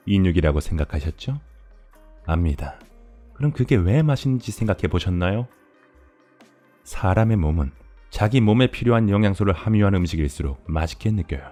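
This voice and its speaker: Low-pitched, chillingly polite cannibal farmer for horror audio drama man acting; unhurried, gloating lecturer, music underneath. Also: sing-song, dark tone. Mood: smug, relishing. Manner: courteous, leisurely explaining, gloating. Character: polite, sadistic male cannibal farmer, chillingly courteous villain. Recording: music behind the voice.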